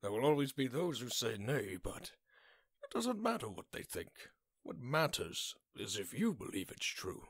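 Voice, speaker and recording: A man voicing a kindly old grandfather, gentle, wise and breathy, in storybook style. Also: medium pitch, big pitch swings, normal speed, no room sound. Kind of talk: storybook character acting.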